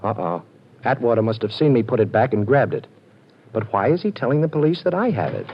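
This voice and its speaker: A man's voice: medium pitch, very animated, a little fast, slight background noise. A man playing a cocky, wisecracking ex-con detective in an old radio drama, teasing and sarcastic. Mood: brisk. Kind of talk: 1940s radio acting. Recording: dull lo-fi old radio recording.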